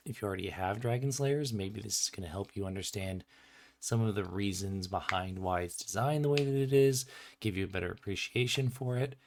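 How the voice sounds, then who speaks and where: A man giving casual, unscripted commentary as a game designer on a livestream, chatty and explanatory, slightly sniffly from a cold. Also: fairly low pitch.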